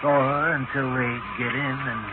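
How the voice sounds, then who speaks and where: An actor playing a blunt, doom-stoking assistant to a professor in brisk, emphatic 1940s radio style. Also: male voice, medium pitch, flat delivery, over loud music, close to an everyday voice. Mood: ominous. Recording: noisy, tinny 1940s radio broadcast.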